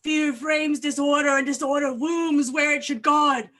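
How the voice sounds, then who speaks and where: A woman's voice: sits high, fairly even intonation, measured pace, a bit bright. A woman acting a frantic, vengeful doctor hunting a murderer in a Shakespearean staged reading, with grand, declamatory delivery. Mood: dread, fury. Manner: declamatory, archaic diction, grandly dramatic.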